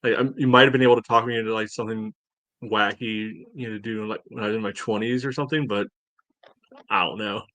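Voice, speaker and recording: A man in his forties with a fairly low voice, chatting casually on a fan podcast. He talks in a hesitant, rambling way, and the sound is very dry, with no room on it. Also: fairly even intonation, fast, a bit bright, no echo. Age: 40s. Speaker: soccer fan podcast co-host. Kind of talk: casual chat.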